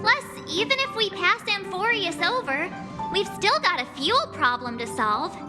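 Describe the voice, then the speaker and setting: A woman's voice: very high voice, very animated, measured pace, exaggerated voice. An actress playing a chatty, casual young female space traveler in video game dialogue. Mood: chatty, curious. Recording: long reverb, loud music behind. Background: music.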